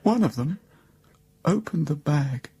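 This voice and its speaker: An actor playing a horrified young gentleman captive, narrating his nightmare haltingly and intensely in a radio drama. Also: fairly high pitch, animated, husky, full character voice.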